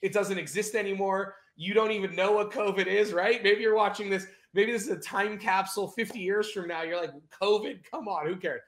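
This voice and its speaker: A man's voice: sits high, brisk, few pauses, echoey room. A man hosting a casual video interview, talking in an upbeat, chatty way. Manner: upbeat, chatty, energetic opener. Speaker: host.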